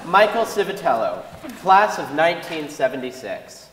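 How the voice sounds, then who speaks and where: A man's voice: a little high, very animated, moderate pace, noisy background. A young man giving a scripted dramatic reading of an essay on a concert hall stage. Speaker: dramatic reader. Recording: echoey hall, distant from the mic.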